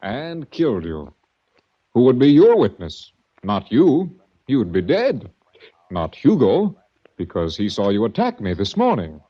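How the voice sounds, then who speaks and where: An actor playing a smug, menacing villain with mocking courtesy in a 1940s radio mystery. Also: male voice, medium pitch, wildly swooping pitch, unhurried. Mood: smugly menacing. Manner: mockingly courteous, taunting, articulate. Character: smooth, smug, menacing villain framing his captive. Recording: dull vintage 1940s radio recording.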